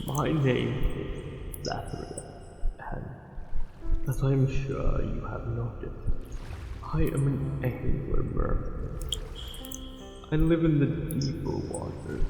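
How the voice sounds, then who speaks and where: A man's voice: mid-range voice, extremely slow, vocal fry, long pauses, warm tone. An actor playing a smitten angler merman in an ASMR roleplay, speaking formally and hesitantly with ornate, tender courtesy. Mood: formal, earnest. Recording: cavernous echo with long reverb, music behind. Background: music.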